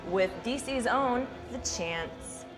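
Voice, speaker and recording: A woman's voice: on the high side, animated, slow, over music. A woman hosts the interview, asking friendly, upbeat questions. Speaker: interview host. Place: music club.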